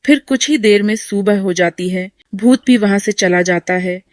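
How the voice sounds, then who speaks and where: A woman's voice: medium pitch, moderate pace, darkish tone, no room sound, clean studio sound. A woman reading scripted narration for a horror cartoon story. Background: music.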